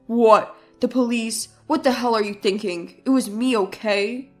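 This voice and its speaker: A woman's voice: fairly high pitch, measured pace, darkish tone, soft background music, close to an everyday voice. A woman narrator voicing an arrogant, selfish husband, brash and defensive, in a story. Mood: startled, defensive. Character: arrogant, selfish, irritable husband caught deceiving his wife.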